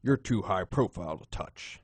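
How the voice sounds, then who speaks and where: A man playing a suave, menacing gentleman crime boss in an audio drama, unhurried and courtly in manner. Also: deep voice, lively intonation, breathy edge, no echo, heavily acted. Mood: condescending.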